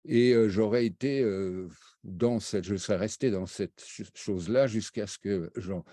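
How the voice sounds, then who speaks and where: An older man, raised between Brazil and France, recalling his path as an interview guest in a halting way, with many fillers and restarts. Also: on the low side, dark tone, no echo, studio quality. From raised half in Brazil, half in France. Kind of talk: hesitant spoken recollection.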